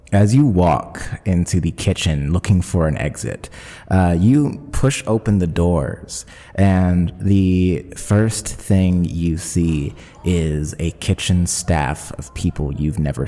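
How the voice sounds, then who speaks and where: A man narrating a tabletop actual play podcast as game master, in measured, dramatic short phrases. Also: low-pitched, mellow. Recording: long reverb, clear background noise.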